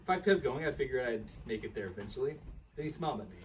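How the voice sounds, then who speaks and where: A man's voice: mid-range voice, fast talker, natural voice. A man playing an earnest, bemused karate student telling his partner a story in a live radio play reading. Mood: animated, bemused. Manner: animated, chuckling, quotes his teacher's dialogue. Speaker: reader in a staged radio play. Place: live reading at a bookshop event. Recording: distant microphone with faint room noise.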